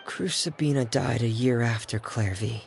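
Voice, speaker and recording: An actor playing a reserved, earnest young man in a video game, speaking gently with hesitant pauses. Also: mid-range voice, gravelly, background noise, lightly acted. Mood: subdued, earnest. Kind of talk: scripted game dialogue. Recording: background music under the voice.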